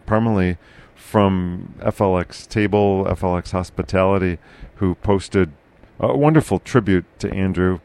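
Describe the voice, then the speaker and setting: A man hosting a casual local podcast chat, with a loud, carrying voice, talking haltingly with many fillers and restarts. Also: low-pitched, gravelly. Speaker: podcast host.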